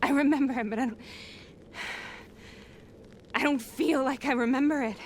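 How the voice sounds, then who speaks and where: An actress playing a troubled, haunted soldier in a video game, earnest and emotionally raw. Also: female voice, sits high, moderate pace, a little hiss or hum, close to an everyday voice. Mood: distraught, panicking. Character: troubled female soldier, haunted, doubting her sanity. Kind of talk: dramatic video game acting.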